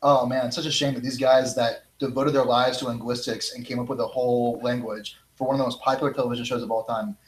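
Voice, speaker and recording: A man's voice: medium pitch, fast, few pauses. Sarcastic young man in an echoey room. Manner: sarcastic, deadpan jokes. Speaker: podcast co-host. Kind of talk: casual chat on a live podcast. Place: home living room, indoors. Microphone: handheld mic.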